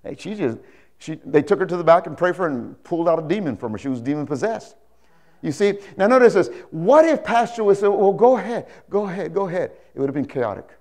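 High-pitched older male preacher, emphatic. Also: sing-song, fast, darkish tone. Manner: emphatic, repeats phrases, seeks audience agreement. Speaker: pastor. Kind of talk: animated sermon with anecdotes. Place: indoor church stage, speaking to a congregation. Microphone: lapel mic.

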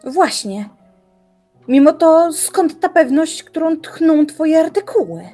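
Woman playing young lady in an audio drama, determined, formal, talks real slow in a highish voice. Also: expressive, warm tone, faint music behind. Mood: probing. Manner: measured, formal, argumentative. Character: determined, defiant young woman challenging her elder. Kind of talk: audio drama acting, literary dialogue.

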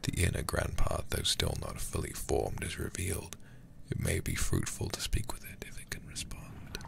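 A man whispering softly close to the mic as an ASMR streamer, reading and commenting through a horror game. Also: sits low, sing-song, moderate pace, gravelly. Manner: soft, hushed whispering. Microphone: close ASMR microphone.

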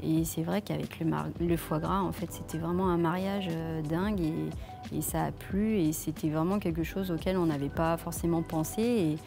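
Woman chef, 30s, from France, lower voice, halting interview delivery with music bed. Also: steady tone, vocal fry. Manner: hesitant, many fillers and restarts. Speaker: head chef. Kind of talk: filmed interview answers. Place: leafy outdoor restaurant courtyard. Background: soft background music.

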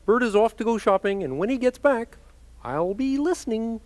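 A man's voice: very high-pitched, expressive, normal speed. A man voicing a cheerful, carefree male puppet roommate in a children's TV comedy, breezy and oblivious. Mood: oblivious, upbeat.

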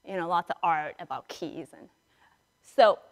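A middle-aged woman priest giving a conversational storytelling sermon in a large church, acting out dialogue with dramatic pauses. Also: fairly low pitch. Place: large church sanctuary, indoors, standing and moving before the altar. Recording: reverberant church hall, picked up in a large space.

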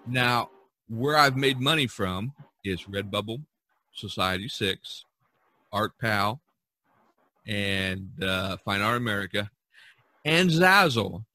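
A man's voice: fairly low pitch, slow pace, long pauses, sharp tone, no echo. A man hosting a casual podcast about art, talking in a rambling, enthusiastic way and repeating himself. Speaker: podcast host and artist.

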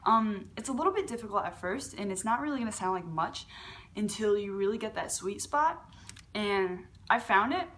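A young woman with a fairly low voice for a woman, hosting a casual beatbox tutorial from her living room and talking to a webcam, with lots of fillers and restarts. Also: animated, normal speed, a bit bright, a little hiss or hum. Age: young adult. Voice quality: lower voice for a woman. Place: large living room at home.

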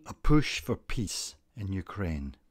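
A man narrating a scripted guided meditation, giving gentle instructions in a calm, deliberate manner. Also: sits low, dry recording, studio quality.

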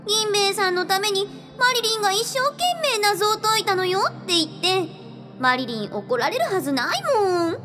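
Very high-pitched, coy girlish charmer. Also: lively intonation, moderate pace. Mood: coy, wheedling. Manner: cutesy, speaks of herself by name. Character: coy, bubbly, girlish young woman spirit, sweetly wheedling. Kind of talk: Japanese radio drama acting. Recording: music behind the voice.

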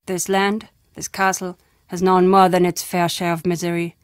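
A woman's voice: lowish voice, little pitch movement, normal speed, clean tone, no room sound, lightly acted. An actress playing a wry, fatalistic old woman storyteller in a video game, speaking dramatically. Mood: grave, foreboding. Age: older. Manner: dramatic, emphatic storytelling with foreboding turns.

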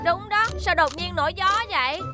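A woman's voice: very high voice, normal speed, over music. A woman voicing a keen, helpful young patrol vehicle in a children's cartoon dub.

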